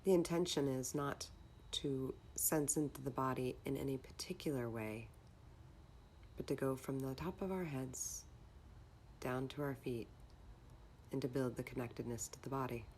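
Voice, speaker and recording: Very low-pitched woman guiding meditation. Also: slight background noise. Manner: calm, measured, instructional. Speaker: meditation guide. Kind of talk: guided meditation narration.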